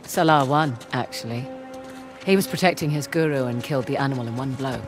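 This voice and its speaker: A woman acting as a composed, knowledgeable treasure hunter in a video game, with a measured, dry manner. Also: rumbling low, music in the background. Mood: thoughtful, knowing. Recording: clear outdoor ambience and vehicle noise.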